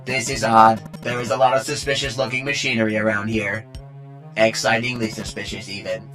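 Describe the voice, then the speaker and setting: Robot schoolmate, male actor: very flat, lowish, precise and dryly boastful, game fan dub. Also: brisk, poor audio. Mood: dry, matter-of-fact. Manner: flat, formal, robotic delivery. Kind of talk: scripted fan dub acting. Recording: music behind the voice.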